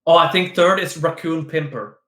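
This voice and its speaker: A man's voice: fairly high pitch, normal speed, pushed and bright. A playful, giggly man with a slightly raised voice, rambling and restarting as he jokes around. His voice rings with a roomy echo, as if he were sitting in a bare room. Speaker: guest, esports player. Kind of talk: casual chat on a stream.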